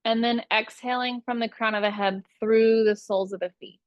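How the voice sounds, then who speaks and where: A woman teaching a guided yoga nidra meditation, giving soothing, unhurried instructions with long pauses between phrases. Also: fairly high pitch, fairly even intonation, no room sound, dull audio.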